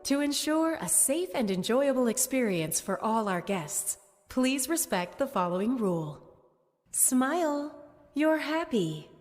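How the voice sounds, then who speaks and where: Slow, swooping park safety announcer. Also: female voice, high voice, very animated. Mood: polite, eerie. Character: eerily polite female theme park safety announcer. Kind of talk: public address announcement. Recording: long hall reverb over faint music.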